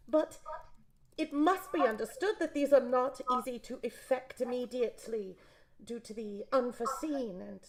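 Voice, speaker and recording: An actress playing a grave, formal radio newsreader delivering a measured emergency bulletin in a radio drama. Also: female voice, fairly high pitch, slight background noise, close to an everyday voice, low-quality recording. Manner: measured, solemn, official.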